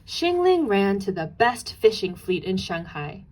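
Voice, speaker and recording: A woman reads a children's story aloud at a slow, deliberate pace. Her pitch is middling, and she leans expressively on key and repeated words for dramatic effect. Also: very animated, a little mellow, low-quality recording. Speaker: storybook narrator.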